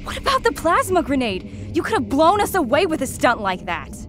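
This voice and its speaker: A woman playing a feisty, bold treasure hunter in a sci-fi audio drama, with a blunt, animated way of talking. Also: sits high, fairly quick. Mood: indignant.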